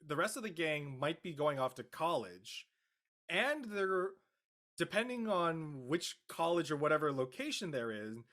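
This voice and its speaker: A man talking off the cuff as an actor in an interview, halting and searching for words. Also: a little high, big pitch swings, pushed and bright. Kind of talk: unscripted interview talk.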